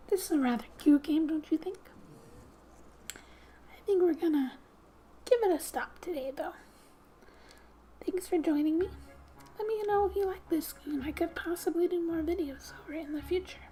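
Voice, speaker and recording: A soft-spoken woman doing ASMR gaming commentary, gently reading story text aloud and often dropping to a whisper, in an unhurried way. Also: extremely high pitch, slight background noise. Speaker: video game streamer. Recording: quiet background music. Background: soft music.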